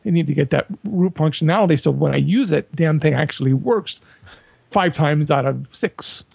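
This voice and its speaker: Gravelly, husky man chatting animatedly. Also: normal speed, no room sound. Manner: animated, folksy, jumps to higher pitch when joking. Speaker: podcast panelist. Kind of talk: casual tech chat.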